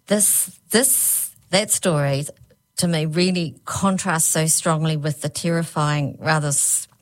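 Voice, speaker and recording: A woman with a New Zealand accent, hosting and chatting casually about a story, with halting restarts. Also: low-pitched, fairly even intonation. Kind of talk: casual chat about a book.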